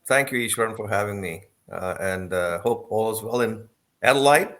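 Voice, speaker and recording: A deep-voiced man in his fifties, originally from Sri Lanka, talks through his past as a remote interview guest. He speaks in a measured way, pausing often to gather his thoughts, and adds a wry, self-deprecating humor. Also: a bit bright. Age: 50s. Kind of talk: remote interview, recounting life story.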